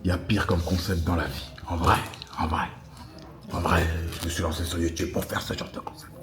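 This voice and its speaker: A man's voice: deep voice, steady tone, a little fast, music in the background. A young man in his twenties with a French accent, vlogging casually in an airport lounge, slangy and chatty, talking straight to his viewers. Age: 20s. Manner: slangy, chatty, addresses viewers as "les gars". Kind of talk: casual vlog talk to camera. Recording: noisy airport ambience.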